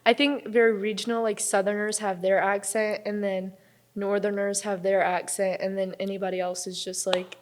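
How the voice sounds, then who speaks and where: A young woman from North Carolina with a mild Southern accent, hosting a casual interview in a relaxed, agreeable way. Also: mid-range voice, normal speed, slight background noise. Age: young adult. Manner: relaxed, easy laughter, agreeable back-and-forth. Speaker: interview host, college athlete.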